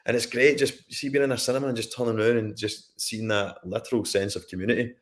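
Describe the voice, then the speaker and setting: A man from Scotland with a Scottish accent, a guest on a film podcast, telling a story in a relaxed conversational way with asides. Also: mid-range voice, fast. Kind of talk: casual chat on a live stream.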